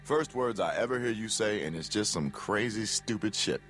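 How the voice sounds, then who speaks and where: A man's voice: lowish voice, lively intonation, normal speed, fairly bright tone, soft background music. An actor playing a practical, sceptical man among survivors in a dramatic video game, speaking bluntly. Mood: challenging. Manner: blunt, challenging.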